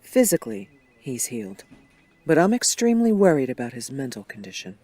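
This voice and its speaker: A man playing a concerned, serious doctor in a superhero fan dub, reporting in a measured, matter-of-fact way. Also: mid-range voice, sing-song, dark tone, no room sound.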